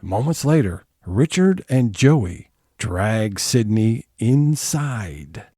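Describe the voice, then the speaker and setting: A man's voice: lowish voice, big pitch swings, very slow, warm tone, dry recording, heavily acted. A man narrating a scripted comedy audio play, setting each scene as storyteller.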